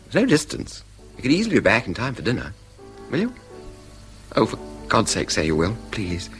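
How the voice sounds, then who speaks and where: An actor with an English accent playing a lovelorn, idle rich gentleman in a radio drama, earnest and halting as he implores. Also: on the low side, wildly swooping pitch, over music. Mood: desperate pleading. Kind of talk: British radio drama acting.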